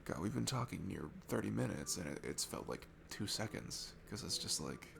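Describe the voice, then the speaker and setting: A man's voice: low voice, sing-song, normal speed, vocal fry, noisy background, exaggerated voice. A man playing a shy, sweet, nervous young guy at a bar in an intimate ASMR roleplay, stammering and hesitant. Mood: nervous, smitten. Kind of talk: ASMR roleplay audio, intimate one-sided conversation. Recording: music behind the voice. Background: music.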